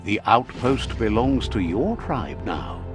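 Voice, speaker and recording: A man with a low voice tells the game's story like a storybook, his pitch rising and falling all over. He sounds wry as he guides the player. Music plays under him. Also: sing-song, takes it slowly. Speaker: game narrator. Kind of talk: scripted storybook-style game narration. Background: game music.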